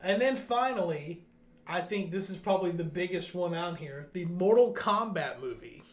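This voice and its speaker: Higher-voiced man in a roomy space. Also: lively intonation, moderate pace. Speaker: host of a video game news podcast. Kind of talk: casual news rundown and chat.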